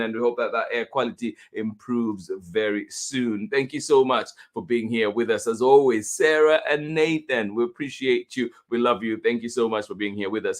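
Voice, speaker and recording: A man hosting a children's story time livestream, effusively greeting and thanking his listeners in an unhurried, halting delivery. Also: medium pitch, a bit bright. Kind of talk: live show hosting, greeting viewers.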